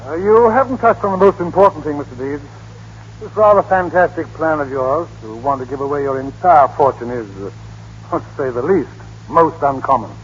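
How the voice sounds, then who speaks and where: Man playing a high-voiced, stuffy courtroom judge. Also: expressive, moderate pace, natural voice. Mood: formal. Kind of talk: 1930s radio drama acting. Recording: noisy, muffled old radio broadcast.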